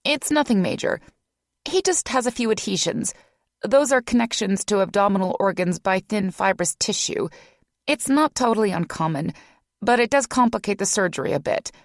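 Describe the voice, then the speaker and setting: A woman voicing a cheerful, businesslike hospital nurse who speaks in a bright, chirpy way. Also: medium pitch, animated, normal speed, no room sound, clean studio sound, pitched above the performer's own voice, natural voice.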